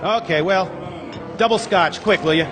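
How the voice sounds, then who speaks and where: A man's voice: sits high, moderate pace, over loud music, natural voice. An actor playing a despairing, sarcastic, bewildered family man in an old-time radio drama, stammering with folksy exasperation. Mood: flippant. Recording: echoey theater stage with long reverb.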